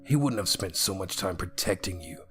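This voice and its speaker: A man playing a stern, grim older brother in an audio story, menacing and deliberate. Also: sits low, expressive, soft background music. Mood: stern warning.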